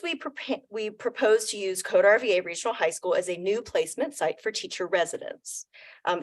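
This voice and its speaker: A woman reading prepared remarks in a measured formal presentation to a committee, in a meeting room. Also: mid-range voice. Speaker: program presenter.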